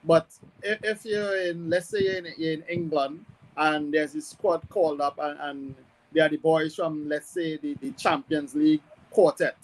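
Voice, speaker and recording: Higher-voiced man, hesitant football pundit. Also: steady tone, rough recording. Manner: hesitant, measured, thinking aloud. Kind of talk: conversational sports analysis.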